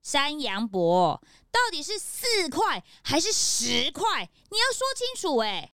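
A woman's voice: high voice, wildly swooping pitch, slow pace, bright, forceful tone, no room sound, clean studio sound, heavily acted. A woman voicing a perky, curious young male peanut sidekick with a Taiwanese Mandarin accent, wide-eyed and questioning, in a children's audio drama. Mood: questioning, insistent.

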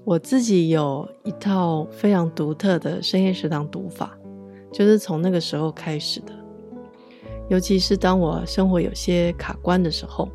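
Mellow Taiwanese woman telling stories. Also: on the low side, steady tone, normal speed, faint music behind. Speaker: podcast host and storyteller. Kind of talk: scripted narration.

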